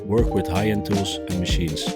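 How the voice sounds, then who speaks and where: A man's voice: low-pitched, normal speed, loud music behind the voice. A man, a designer and traditional shoemaker, reading a promotional voice-over for his course. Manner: steady, promotional.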